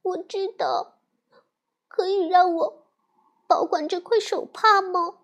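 A woman's voice: very high voice, takes it slowly, fairly bright tone, voice pushed up, full character voice. A woman voicing a little girl bear cub who is grieving her goldfish, tearful and pleading. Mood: sad, hushed.

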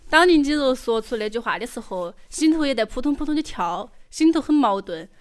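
A woman's voice: sits high, animated, fairly quick. A woman with a Chongqing accent giving scripted narration for an audio drama.

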